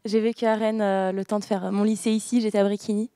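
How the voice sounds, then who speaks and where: Young french woman interviewed, kinda halting, warm-ish low-key tone. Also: flat delivery, dry recording. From France. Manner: hesitant, many fillers and restarts. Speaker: author guest. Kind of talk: interview conversation.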